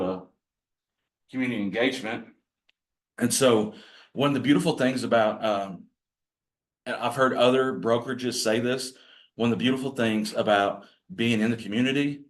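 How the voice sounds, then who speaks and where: Growly man teaching a workshop. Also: fairly low pitch. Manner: folksy, rambling, self-deprecating. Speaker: real estate agent training other agents. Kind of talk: casual workshop talk to a group.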